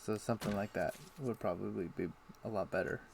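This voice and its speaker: A man's voice: on the low side, fairly even intonation, brisk, creaky. A man casually chatting and thinking aloud while he plays a game on a live stream. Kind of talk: casual chat while playing. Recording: noisy home stream audio.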